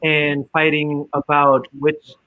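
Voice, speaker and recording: Man, 20s, Filipino accent, bright tone, relaxed guest chat, dry studio. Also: a little high, slow, no echo, rough recording. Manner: easygoing, mixes English with Tagalog, many fillers. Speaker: guest singer. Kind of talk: casual radio interview.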